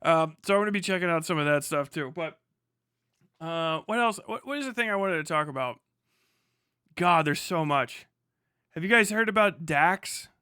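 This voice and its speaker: A man's voice: fairly high pitch, lively intonation, normal speed, long pauses, bright, forceful tone, clear, resonant tone, no room sound, studio quality. A man hosting a livestream with casual, rambling, sarcastic chat and plenty of swearing, sounding tired. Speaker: livestream host.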